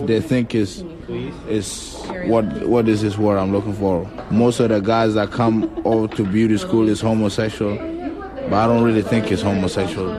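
A man in his twenties from South Sudan whose first language is Acholi, speaking casually and thoughtfully, often repeating words as he searches for them. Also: on the low side. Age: 20s. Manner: relaxed, reflective, repeats words while searching. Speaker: beauty school student. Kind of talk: casual interview talk. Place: beauty school and home.

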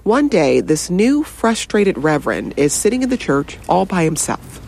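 A narrator reading a scripted documentary narration with lively intonation. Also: mid-range voice, normal speed, vocal fry, no echo.

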